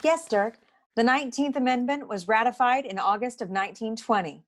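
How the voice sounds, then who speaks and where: An actress playing a brisk, know-it-all detective agency secretary in a noir comedy audio play, curt and dryly superior. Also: female voice, fairly high pitch, close to an everyday voice. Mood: matter-of-fact, superior.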